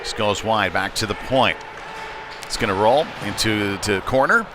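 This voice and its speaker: A man doing live hockey play-by-play in a conversational, folksy way with hesitant pauses. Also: fairly low pitch, lively intonation. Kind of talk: live sports commentary. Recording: noisy indoor rink ambience.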